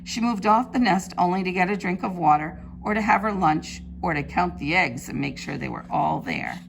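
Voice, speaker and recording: Preschool teacher lady reading a picture book, gravelly fry in her voice, slight noise behind. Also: on the low side. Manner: simple, deliberate phrasing addressed to children. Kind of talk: read-aloud storytelling for young children. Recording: faint background noise.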